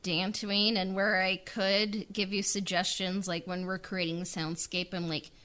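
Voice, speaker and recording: A woman speaks slowly in a mid-range voice, chatting casually as the writer and director of an audio drama. She is soft-spoken and a little halting, pausing between thoughts. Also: mid-range voice, flat delivery. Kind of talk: casual behind-the-scenes chat.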